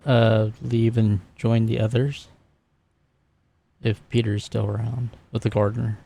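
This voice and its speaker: A man playing a tabletop roleplaying game, talking casually and describing his character's actions in a halting way. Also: lowish voice, flat delivery, gravelly, warm tone.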